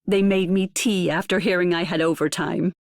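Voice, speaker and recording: A woman's voice: fairly low pitch, steady tone, moderate pace, dry recording, studio quality, natural voice. A woman voicing an overworked, wry but fond medic in a game, matter-of-fact. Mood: weary, fond. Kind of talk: scripted game voice lines.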